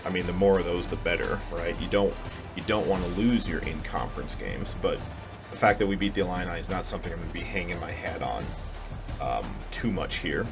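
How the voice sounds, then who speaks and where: A man's voice: low voice, a little fast, vocal fry, music in the background. A man giving casual, mumbly, self-deprecating commentary while playing a video game. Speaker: gaming channel host. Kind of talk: casual let's-play commentary.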